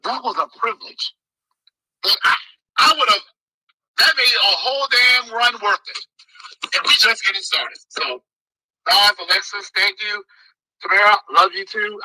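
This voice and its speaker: A man with a strong, forceful voice speaking with fiery emotion. His voice sits high for a man and rings very bright. The sound is dry and close. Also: steady tone, normal speed, no room sound, poor audio. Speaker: political candidate. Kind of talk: impassioned thank-you speech.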